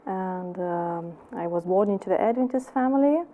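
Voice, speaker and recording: A woman from Lithuania with a Lithuanian accent, giving a personal testimony as an interview guest in halting English with many restarts. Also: medium pitch, expressive, phone-quality sound, slight background noise.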